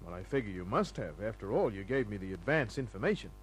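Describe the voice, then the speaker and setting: An actor playing a hard-boiled private detective, laconic, breezy and sardonic, in the manner of old-time radio drama. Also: male voice, fairly low pitch, very animated, fast talker. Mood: casual, needling. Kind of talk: old-time radio acting and first-person narration. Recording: noisy humming old radio recording.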